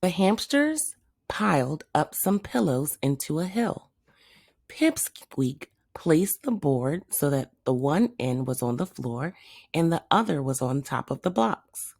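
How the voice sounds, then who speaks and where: A woman reading a children's story aloud as a teacher would, with expressive narration. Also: low-pitched, slow, gravelly, no echo, studio quality.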